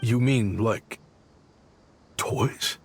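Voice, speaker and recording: An actor playing a weary, regretful exiled desert scholar and inventor in a game, speaking in a measured, reflective way. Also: male voice, lowish voice. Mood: agreeable. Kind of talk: scripted game narration and dialogue. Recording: long reverb, music behind.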